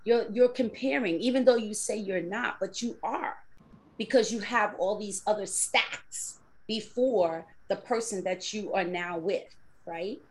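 A woman's voice: mid-range voice, unhurried, poor audio. A woman in her fifties talking earnestly and explaining at length in a casual group conversation. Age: 50s.

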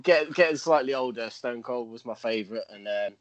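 A man's voice: mid-range voice, dry recording, highs cut off. Stammering man, dry close mic. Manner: stammering restarts, quick laughs. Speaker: guest, professional wrestler. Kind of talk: casual interview chat.

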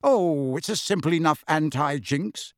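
A man voicing a kindly but harried middle-aged wizard father who talks brisk and earnest, in a dry audiobook reading. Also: fairly high pitch, expressive, no room sound. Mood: earnest, agitated. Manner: brisk and earnest, clipped when tense.

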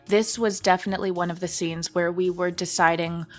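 A woman's voice: on the low side, flat delivery, brisk, faint music behind. A woman chatting as an interview guest, explaining creative choices in a thoughtful, casual manner. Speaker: podcast guest, audio drama creator.

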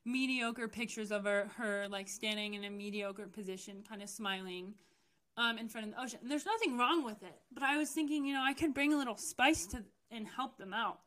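A young American woman talks through her own experiences in a loose, candid way, full of fillers and quick self-corrections. Her voice is bright and a little high. She sounds like she is telling a friend a story. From United States. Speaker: podcast host. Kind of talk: casual personal storytelling.

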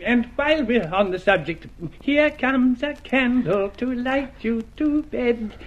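An actor playing an eerie, mocking voice reciting slowly in a taunting singsong in a radio drama. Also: male voice, very high voice, animated. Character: eerie, mocking voice reciting a sinister nursery rhyme. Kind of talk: old radio drama acting. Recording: muffled, noisy old recording.

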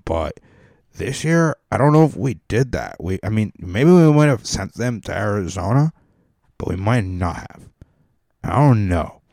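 A man's voice: lowish voice, sing-song, unhurried, creaky, darkish tone, dry recording, clean studio sound, heavily acted. A man voicing a rambling male caller with a Californian accent, meandering and full of fillers, in a comic podcast bit.

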